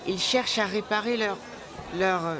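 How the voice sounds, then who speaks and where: A woman's voice: mid-range voice, normal speed, crowd noise, noisy background, lightly acted. A woman playing a friendly, eager desert boy in a French children's cartoon. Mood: cheerful. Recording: music behind the voice.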